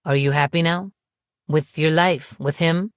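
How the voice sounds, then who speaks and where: Woman voicing a calm, patronizing man, low-pitched. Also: measured pace, no echo, studio quality. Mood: probing. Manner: smooth, condescending. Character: calm, confident, patronizing adult man, an ex-boyfriend.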